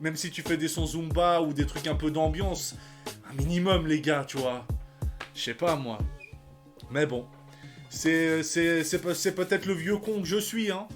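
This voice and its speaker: A man's voice: fairly high pitch, fairly even intonation, measured pace, faint music behind. A man in his thirties giving casual reaction commentary, talking in slang with lots of sighs, fillers and restarts. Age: 30s. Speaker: reaction channel host.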